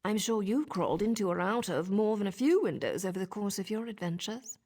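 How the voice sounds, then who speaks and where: An actress playing a sly, playful assassin in a fantasy game, husky and creaky, with a wry, teasing manner. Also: female voice, medium pitch, fairly quick, a little mellow, lightly acted.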